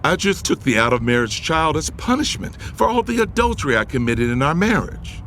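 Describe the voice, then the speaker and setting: Smug, animated billionaire schemer. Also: male voice, fairly high pitch, brisk, slight background noise. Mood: reflective, confessional. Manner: smug, commanding, taunting. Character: scheming, arrogant middle-aged billionaire husband and father. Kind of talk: audio drama acting with first-person narration. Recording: music under the voice. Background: music.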